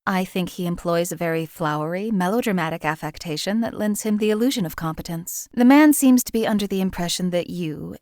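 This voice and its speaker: A woman's voice: fairly low pitch, a little mellow, dry recording, natural voice. A sardonic female assassin who was once a slave, as a woman voices her, cold and precise. She cuts people down in a dry, condescending way. The studio sound is dead dry and close. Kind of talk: game companion voice lines.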